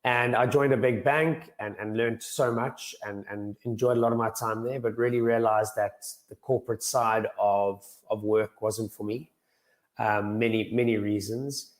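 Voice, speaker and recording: Earnest young man, croaky. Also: on the low side, fairly even intonation, moderate pace. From South Africa. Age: young adult. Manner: earnest, repeats words as he starts sentences. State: slightly croaky voice. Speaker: guest financial planner. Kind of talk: interview answers.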